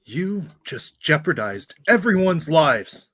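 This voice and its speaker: A bright, forceful voice with a rough edge, for a stern mentor in a radio play, played by a man. He is authoritative and intense, commanding his team in a dry, close sound. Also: on the high side, very animated, takes it slowly, no echo, full character voice. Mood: angry. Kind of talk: live-read radio theatre acting.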